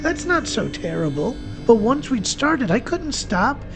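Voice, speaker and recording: A man's voice: extremely high pitch, very animated, measured pace, creaky, over music, voice pushed up, exaggerated voice. A man voicing a cornered, treacherous old woman in a horror story, defiant and pleading. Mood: desperate pleading.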